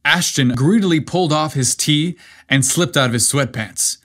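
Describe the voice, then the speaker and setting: A man's voice: a little high, moderate pace, fairly bright tone. A man narrating a scripted story as the host of a podcast.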